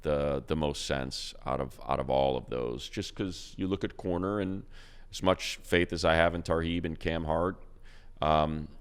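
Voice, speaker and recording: A man with a very deep, smooth, clear voice talks football in a loose, casual way. He restarts sentences and trips over his words as he thinks aloud, in a relaxed way. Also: unhurried. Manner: rambling, many restarts and repeated words. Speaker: radio play-by-play announcer and podcast co-host. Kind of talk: casual sports chat.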